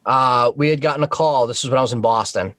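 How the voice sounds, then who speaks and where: A man's voice: mid-range voice, quick pace, fairly bright tone, dry recording. Man telling stories casually, very dry sound. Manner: informal, swearing. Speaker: podcast guest, Coast Guard boat operator.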